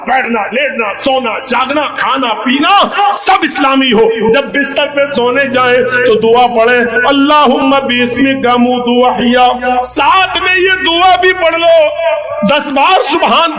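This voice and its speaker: A man's voice: very high voice, echoey room. A man preaching a sermon with emphatic, repetitive listing and recited Arabic prayers.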